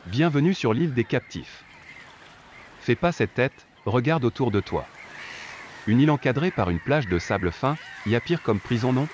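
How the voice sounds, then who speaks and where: A man's voice: mid-range voice, expressive, moderate pace, slight background noise, lightly acted. A man playing a breezy, teasing guard on a prison island in an audio drama, casual and chatty.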